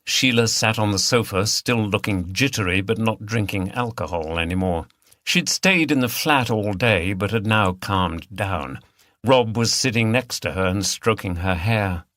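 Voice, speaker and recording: A man's voice: fairly low pitch, unhurried, fairly bright tone, no echo. Man reading a mystery audiobook, very dry sound. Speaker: audiobook narrator. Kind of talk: scripted narration of a mystery novel.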